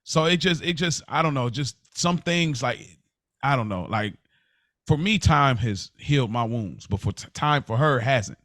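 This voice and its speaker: A man's voice: mid-range voice, animated, moderate pace, fairly bright tone, dry recording, clean studio sound. An American man co-hosting a podcast, talking casually and reflectively, often repeating and rephrasing as he explains. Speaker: podcast co-host.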